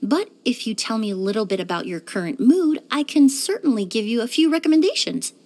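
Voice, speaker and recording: A woman playing a composed, courteous young woman perfumer in a video game, speaking in a polite, measured, softly formal way. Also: on the high side, big pitch swings. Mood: courteous, inquisitive. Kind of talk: scripted video game character lines.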